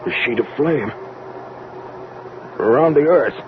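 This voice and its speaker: An agitated major, wildly swinging pitch. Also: male voice, animated, slow pace. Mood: alarmed, bewildered. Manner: urgent, stammering, emphatic. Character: agitated male army major, stubborn, disbelieving, urgently questioning. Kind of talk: 1940s radio drama acting. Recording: dull, noisy old radio recording.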